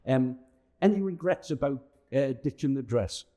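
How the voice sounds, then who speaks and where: A man's voice: mid-range voice, lively intonation, moderate pace, mellow. A man, hosting an interview on location for a local channel. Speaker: host interviewer for a town TV channel.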